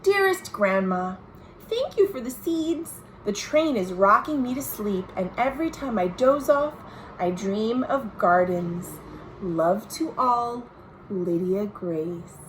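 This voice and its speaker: A woman's voice: medium pitch, animated, takes it slowly, rough recording. A woman reading aloud a storybook's letters as a shy, eager, affectionate young girl, earnestly and expressively. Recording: home video with faint background noise.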